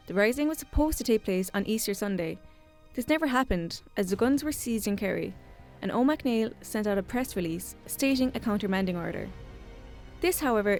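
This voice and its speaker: Woman, documentary narrator, scripted read, slightly high pitch, background music. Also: darkish tone.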